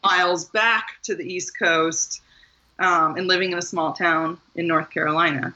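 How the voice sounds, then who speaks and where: A woman's voice: fairly low pitch, fairly even intonation, normal speed, bright, forceful tone, dull audio, a little hiss or hum. A woman from Maryland, a guest author, talking casually in an interview, rambling a little and laughing often. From Maryland, USA.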